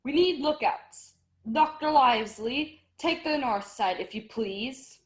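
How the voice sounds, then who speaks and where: A woman's voice: sits high, lively intonation, slow pace. A woman playing a stern, commanding ship's captain who gives curt orders in an audio drama. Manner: curt, authoritative.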